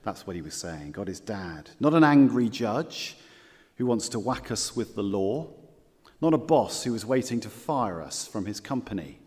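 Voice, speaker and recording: A man preaching a sermon as pastor, earnest and measured, repeating key phrases and slowing down for scripture quotes. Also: fairly low pitch, very animated, a little mellow, in a large hall.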